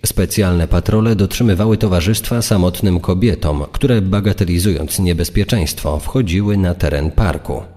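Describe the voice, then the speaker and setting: A man's voice: low voice, normal speed, hardly any pauses, warm tone. A man reading scripted true-crime narration. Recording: long reverb, music bed behind.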